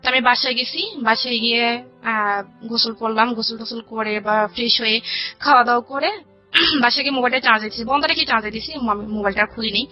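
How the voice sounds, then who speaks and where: A Bangladeshi woman with a fairly high voice shares her own story in a conversational way. She retells exchanges line by line, quoting both sides. The sound is slightly muffled and lo-fi, with music playing underneath. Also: a little fast. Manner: recounts dialogue in detail, quoting both sides. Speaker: guest telling her life story. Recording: dull lo-fi radio audio with music. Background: background music.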